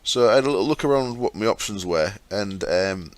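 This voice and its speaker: A man hosting a gaming channel, explaining his setup step by step in a chatty way with fillers, his throat sore and croaky. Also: fairly low pitch, expressive, a little fast, dry recording. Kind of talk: casual game commentary.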